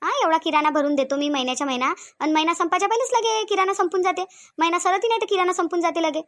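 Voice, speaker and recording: A very high-pitched, domineering village mother-in-law , played by a woman in a Marathi cartoon comedy. She nags quickly in a Varhadi accent, firing sarcastic rhetorical questions and counting every penny. The sound is dry and close. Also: fairly bright tone, dry recording. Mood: scolding. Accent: Varhadi Marathi from Vidarbha. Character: domineering, miserly, scolding rural mother-in-law.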